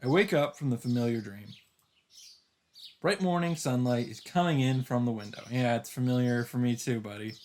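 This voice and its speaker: A man's voice: medium pitch, moderate pace, darkish tone, slight background noise. A man hosting a let's play, reading story narration aloud expressively with occasional casual asides. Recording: music under the voice.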